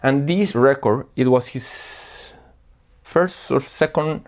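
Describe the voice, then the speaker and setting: A man from Colombia, a guest record selector chatting casually and earnestly about records, often pausing to search for words. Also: mid-range voice. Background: faint music playing.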